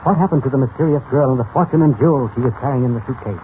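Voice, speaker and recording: A man's voice: mid-range voice, very fast, slight background noise, lightly acted. A man narrating a 1940s radio serial in a formal, suspenseful, dramatic style, on a tinny old recording. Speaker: announcer, narrator.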